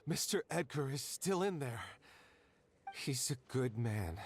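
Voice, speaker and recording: Distressed young guy in a game, halting, stubborn, pleading, noisy background. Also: mid-range voice, lively intonation. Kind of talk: scripted video game dialogue.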